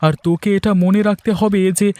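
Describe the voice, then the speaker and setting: Cartoon village fisherman, acted by a man: high voice, accusing and defensive, very dry sound. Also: fairly even intonation, a little fast, darkish tone, no echo, lightly acted. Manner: accusing, argumentative. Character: aggrieved village fishermen quarrelling, suspicious and defensive men. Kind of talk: cartoon fairy-tale dialogue.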